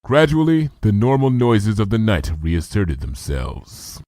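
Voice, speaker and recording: A man narrating a story in an expressive, animated reading style. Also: lowish voice, a little slow, no echo, clean studio sound. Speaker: fanfic reader.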